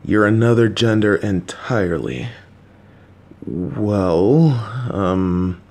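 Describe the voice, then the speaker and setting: Casting: a man playing a middle-aged dad, awkward and kind, unhurried delivery. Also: fairly low pitch. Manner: slow, halting, warm dad jokes. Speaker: voice actor playing a father. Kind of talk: audio roleplay.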